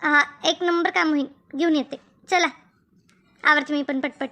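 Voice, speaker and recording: A chatty village woman from an animated comedy, played by a woman. Her voice is extremely high, almost childlike. She speaks with a rural Maharashtrian Marathi accent in a folksy, repetitive way. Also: normal speed. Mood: agreeable. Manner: colloquial, repetitive, rustic village talk. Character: chatty village women in a comic cartoon.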